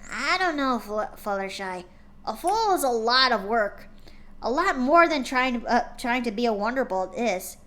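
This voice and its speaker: A woman voicing a brash, sporty young female pegasus pony who talks loudly and energetically. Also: a little high, normal speed. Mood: earnest. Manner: loud and energetic.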